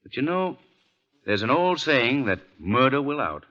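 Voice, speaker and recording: An actor playing an assured, urbane gentleman sleuth in a polished 1940s radio drama style. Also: medium pitch, sing-song, measured pace. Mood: grave, explanatory. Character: suave, assured gentleman amateur sleuth, adult man. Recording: muffled vintage 1940s radio recording.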